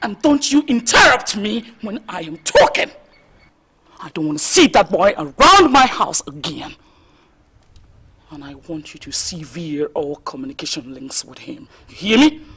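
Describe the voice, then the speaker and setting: A woman's voice: lowish voice, very animated, unhurried, fairly bright tone, slightly rough, heavily acted. An actress playing a stern widowed mother, forbidding her daughter a romance in a melodrama. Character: stern widowed mother, middle-aged, bitter and commanding. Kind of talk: melodrama acting.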